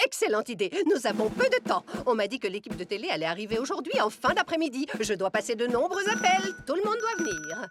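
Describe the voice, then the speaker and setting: A woman voicing a bossy, excitable young perfectionist show organiser in a French cartoon, theatrical and commanding. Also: fairly high pitch, fast talker, few pauses. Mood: excited, bossy. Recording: music underneath.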